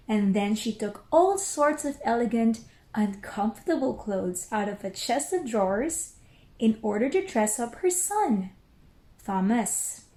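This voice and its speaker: Slow, animated woman reading a storybook. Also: on the high side. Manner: deliberate, with careful pauses. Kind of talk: expressive children's storybook read-along. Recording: roomy echo.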